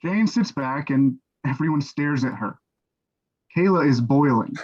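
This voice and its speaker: A man's voice: medium pitch, animated, measured pace, darkish tone. Man narrating stage directions, dull lo-fi sound. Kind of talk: scripted narration. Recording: dull, lo-fi microphone.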